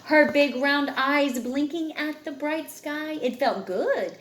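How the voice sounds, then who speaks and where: A woman's voice: high voice, takes it slowly, reverberant, a little hiss or hum. A woman reading a picture book aloud expressively, as a storyteller to a child, with dramatic emphasis on key words.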